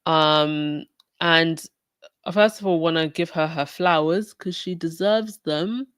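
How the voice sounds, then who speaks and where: A woman's voice: low-pitched, slow, fairly bright tone, smooth, no room sound, studio quality. A woman from England hosting a casual podcast, sounding sniffly from a cold and chatting loosely with many fillers and restarts. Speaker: podcast host. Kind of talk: casual commentary on a livestreamed podcast.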